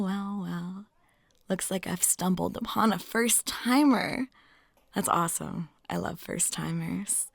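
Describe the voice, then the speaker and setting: Giggly, flirty woman with a creaky edge. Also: mid-range voice, no echo, studio quality. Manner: giggly, chatty, casual, flirtatious. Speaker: audio roleplay performer. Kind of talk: intimate ASMR-style roleplay monologue.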